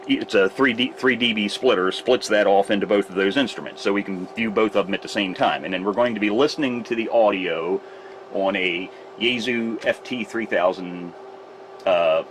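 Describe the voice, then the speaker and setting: A man's voice: lowish voice, moderate pace, fairly bright tone, a little hiss or hum, rough recording. A man who repairs radios, casually explaining his test setup step by step in a workshop. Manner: rambling, explains gear step by step. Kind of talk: casual technical demonstration. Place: repair shop workbench with test gear.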